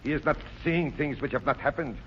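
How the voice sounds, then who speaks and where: An actor playing a principled captive psychiatrist in a vintage radio thriller, grave, formal and resolute. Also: male voice, fairly high pitch, fairly quick, close to an everyday voice. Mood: defiant. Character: defiant, principled male psychiatrist held captive. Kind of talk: 1940s radio thriller acting. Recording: dull, noisy vintage radio recording.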